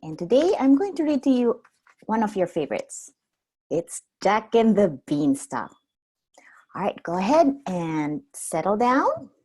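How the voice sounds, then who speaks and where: A woman reading a storybook aloud like a preschool teacher, expressive and simply worded for small children. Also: mid-range voice, measured pace, slightly rough.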